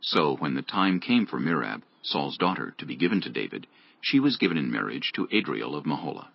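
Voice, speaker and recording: A man narrating scripture dramatically from a script, in a gravelly, husky voice. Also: a bass voice, very animated, fairly quick, dry recording, muffled recording.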